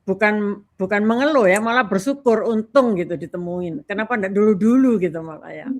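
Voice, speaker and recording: An older woman in her sixties talks as a guest with an Indonesian accent. Her voice sits at a medium pitch, and her delivery is chatty and colloquial, with short tags at the ends of phrases. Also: a little fast. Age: 60s. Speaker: talk guest. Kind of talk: casual chat.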